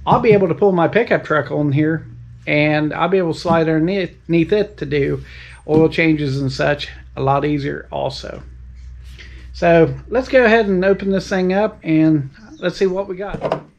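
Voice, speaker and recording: A man's voice: fairly high pitch, moderate pace, vocal fry, a little mellow. A man hosting his own channel, casually demonstrating car ramps outdoors in a folksy, plain-spoken, step-by-step way. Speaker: channel host reviewing tools.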